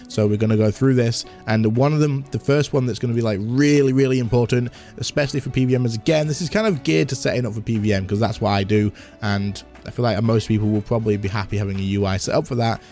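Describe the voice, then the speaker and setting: A man with a slightly hoarse voice, giving a casual game tutorial and chatting as he demonstrates on screen. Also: mid-range voice, dark tone. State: slightly hoarse, losing his voice. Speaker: gaming content creator.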